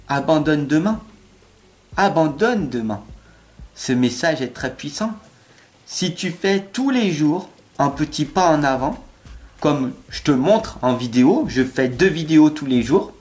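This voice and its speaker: A man's voice: a little high, darkish tone. A French-accented man giving a tarot card reading straight to the viewer, earnest and insistent, often checking in with "d'accord?". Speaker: tarot reader.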